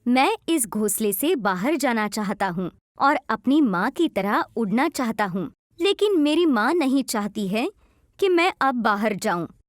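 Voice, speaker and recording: Lady doing a little pigeon chick, wistful, storybook style, highish lively voice, dry sound. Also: unhurried, no echo. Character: young pigeon chick yearning to fly. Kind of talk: children's storybook dialogue.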